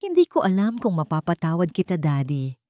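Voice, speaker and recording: A woman voicing a resilient pregnant young heroine, speaking clearly and earnestly with conviction. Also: lowish voice, very animated, brisk, dark tone, no room sound, studio quality. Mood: honest, guarded.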